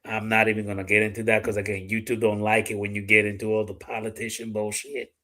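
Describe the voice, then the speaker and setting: A man's voice: lowish voice, level tone, quick pace, sharp tone. A middle-aged man from the Dominican Republic with a Dominican accent, hosting a casual live chat, talking bluntly and swearing freely. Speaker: livestream host.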